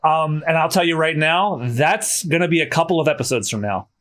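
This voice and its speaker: Chatty male podcast host, slightly hoarse. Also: fairly high pitch, fairly quick, fairly bright tone. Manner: chatty, self-correcting restarts. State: slightly hoarse after dental work. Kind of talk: casual podcast chat.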